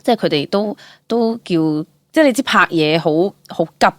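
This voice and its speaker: A young woman with a Hong Kong Cantonese accent, an actor telling stories casually as an interview guest, chatty and expressive, dropping in English words. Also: mid-range voice, normal speed, dry recording. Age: young adult.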